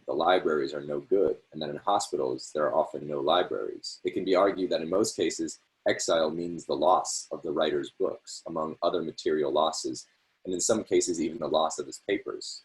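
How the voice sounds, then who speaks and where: A man with an extremely low, deep voice reads a literary essay aloud over a video call. He takes it phrase by phrase in a measured way and now and then corrects a slipped word. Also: rough recording. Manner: measured, phrase-by-phrase delivery with small self-corrections. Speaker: reader hosting a reading series.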